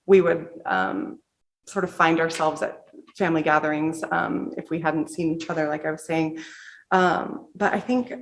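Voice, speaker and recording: Casual American woman, low creaky voice. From US Pacific Northwest. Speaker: author at a book reading. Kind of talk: casual, candid audience Q&A.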